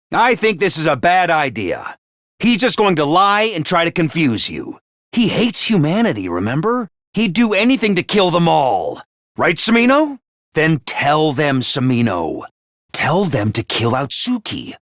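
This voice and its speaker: A man's voice: sits high, fairly bright tone, dry recording, exaggerated voice. A man voicing a chirpy, scheming male mascot creature who makes cheery announcements and turns snide and callous. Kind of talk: video game voice lines. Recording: dry studio recording.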